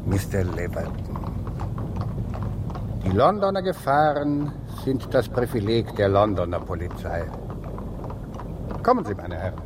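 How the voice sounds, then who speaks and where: An actor playing a proud, resolute London police inspector in a radio drama, official and emphatic. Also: male voice, on the low side, big pitch swings, measured pace, long pauses. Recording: clear ambient noise behind radio play.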